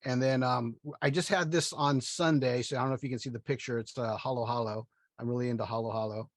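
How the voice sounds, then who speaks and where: Relaxed man with dry call audio. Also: mid-range voice, fast talker, no echo. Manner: relaxed, hesitant with many fillers. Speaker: participant introducing himself. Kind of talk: casual video call chat. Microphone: video call.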